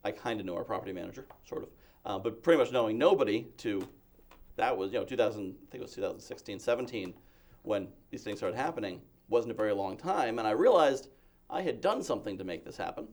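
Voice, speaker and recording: A man's voice: on the low side, lively intonation, a little fast, a little mellow. A man from Massachusetts, a guest in an interview, telling self-deprecating stories in a chatty way. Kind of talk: casual interview talk.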